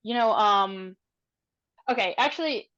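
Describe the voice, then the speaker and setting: A woman playing a tabletop game, improvising casually and thinking aloud in a halting way. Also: mid-range voice, fairly bright tone, dull audio.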